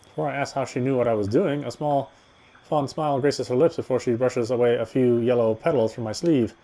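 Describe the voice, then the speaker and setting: A man's voice: medium pitch, brisk, dark tone. A man reading a horror visual novel aloud as a dramatic first-person narrator.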